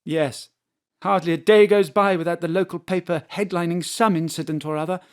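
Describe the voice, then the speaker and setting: A woman playing a brisk, formal female mayor. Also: lowish voice, fairly even intonation, a little mellow, lightly acted. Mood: businesslike. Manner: formal and crisply polite, then blunt. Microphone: home studio mic.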